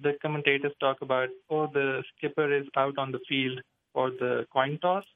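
A man's voice: medium pitch, steady tone, normal speed. A man from Pakistan calling into a show, asking his question casually over a narrow phone line. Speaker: caller. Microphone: telephone.

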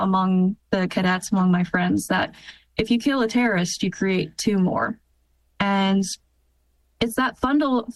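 Interview guest: American woman, twenties, earnest and reflective, halting delivery, dry recording. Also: mid-range voice, no room sound, low-quality recording. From United States. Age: 20s. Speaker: interview guest, military officer. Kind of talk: earnest personal testimony in an interview.

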